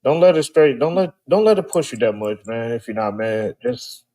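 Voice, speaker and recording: A man's voice: mid-range voice, expressive, normal speed, dark tone. Laid-back man moderator who stammers. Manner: laid-back, stammers, keeps steering back to topic. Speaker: panel moderator. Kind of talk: live-stream panel chat.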